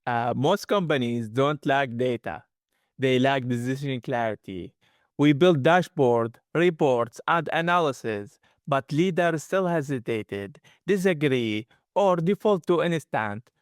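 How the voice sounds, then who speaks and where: A man's voice: mid-range voice, lively intonation, measured pace, no room sound, studio quality. A man hosting a fireside chat, reading prepared questions with some nonstandard grammar. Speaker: host.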